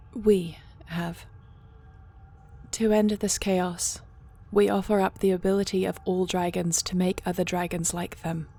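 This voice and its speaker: A woman playing a resolute, noble dragon-shifter queen in a fantasy audio roleplay, speaking earnestly and formally in a breathy, husky voice. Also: mid-range voice, a little mellow. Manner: earnest, measured, formal phrasing.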